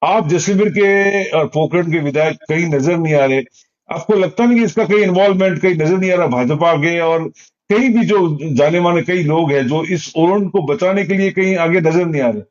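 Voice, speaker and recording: A man's voice: fairly high pitch, normal speed. A man working as a journalist, asking long-winded, repetitive questions in a phone interview. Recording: dull, muffled phone call recording.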